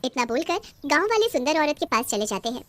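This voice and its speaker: A woman's voice: high-pitched, very animated, fast talker, no echo. A woman narrating a scripted cartoon horror story as the storyteller.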